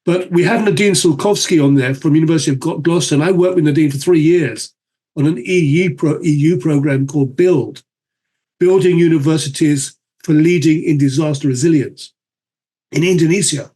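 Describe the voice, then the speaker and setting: A man's voice: a little high, fairly quick, dark tone. A man moderating a panel discussion, enthusiastic and fluent, repeating words for emphasis.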